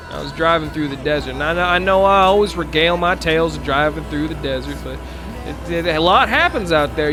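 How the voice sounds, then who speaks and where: A man playing a folksy trucker in improvised CB roleplay, rambling and halting. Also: fairly high pitch, lightly acted. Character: folksy male trucker telling tall road tales.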